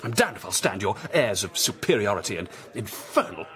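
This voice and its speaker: A man's voice: lowish voice, sing-song, brisk, fairly bright tone. A man playing a proud, rakish young English army officer in a period radio drama, with a haughty, blustering manner. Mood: indignant. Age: young adult.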